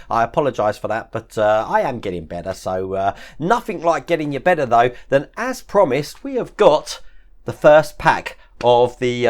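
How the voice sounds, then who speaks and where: A man hosting his model-building channel, chatting casually through an unboxing, hoarse from a chest infection and full of fillers and little laughs. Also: mid-range voice. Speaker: model-kit channel host.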